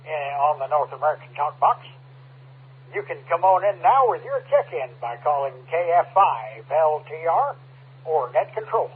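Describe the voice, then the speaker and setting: Man, amateur radio net host, courteous and folksy, husky high voice, tinny narrowband transmission. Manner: folksy, courteous, formulaic calls. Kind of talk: directing a radio check-in net. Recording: narrowband two-way radio audio.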